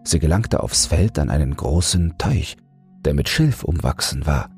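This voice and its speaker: A man with a soft, breathy voice narrating a fairy tale as a bedtime audiobook. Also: very deep voice, sing-song, a little slow. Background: soft music.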